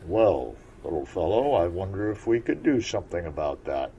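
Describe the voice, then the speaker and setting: A man voicing a kindly, wise old male frog in a deep, frogish voice. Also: big pitch swings, moderate pace, dark tone, highs cut off, slight background noise.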